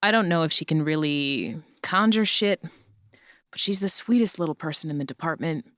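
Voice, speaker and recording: Man as casual narrator, bright crisp voice. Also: fairly high pitch, lively intonation, moderate pace, no echo, clean studio sound. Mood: fond, casual. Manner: informal, slangy. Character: casual, blunt narrator, a fond coworker of the characters. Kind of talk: casual scripted narration in audio drama.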